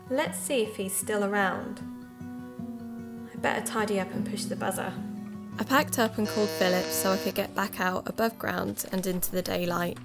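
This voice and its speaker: A woman plays a curious young sleuth who methodically thinks out loud. Her pitch is middling. Guitar music plays clearly behind her. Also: close to an everyday voice. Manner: muses aloud, methodical. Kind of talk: audio drama narration and monologue.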